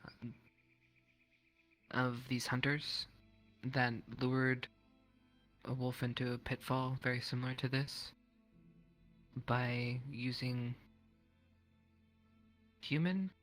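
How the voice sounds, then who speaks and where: A man's voice: mid-range voice, fairly even intonation, takes it slowly, long pauses, fairly bright tone. A man playing a polite, hesitant townsman in an improvised fantasy roleplay, answering deferentially. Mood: thoughtful, wary. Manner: polite, hesitant, throat-clearing, short replies. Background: music.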